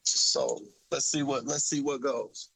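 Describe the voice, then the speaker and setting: A man from Oklahoma co-hosting a casual sports talk show, easygoing and halting, often repeating his words. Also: mid-range voice, vocal fry, a bit bright, slight background noise, low-quality recording. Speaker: podcast co-host.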